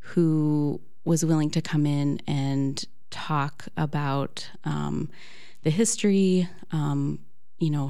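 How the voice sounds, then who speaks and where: A woman's voice: deep voice, mellow, clean tone. An American woman hosting a podcast interview, chatty and casual with many filler words. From United States. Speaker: podcast host.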